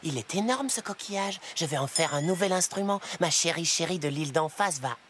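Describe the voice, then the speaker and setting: A woman's voice: fairly low pitch, expressive, brisk, background noise, natural voice. A woman voicing a small, earnest, dreamy boy in love in a French cartoon. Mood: eager, excited. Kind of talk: French animated cartoon acting. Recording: music behind the voice.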